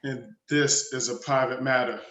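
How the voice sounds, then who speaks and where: A man acts out a grizzled monastery superior in his fifties, strict and admonishing. His mid-pitched voice rings in an echoey room during a drama reading. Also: fairly even intonation, normal speed, fairly bright tone, natural voice. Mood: stern rebuke.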